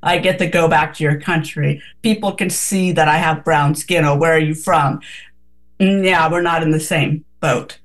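A woman's voice: low voice, steady tone, moderate pace. A woman co-hosting a casual radio talk, speaking earnestly and reflectively with many restarts.

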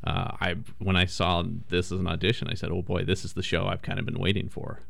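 A man's voice: sits low, monotone, quick pace, gravelly, a bit bright, no echo, studio quality. A man who acts in a radio drama, chatting in an interview with many fillers and restarts. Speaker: radio drama cast member. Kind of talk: casual interview chat.

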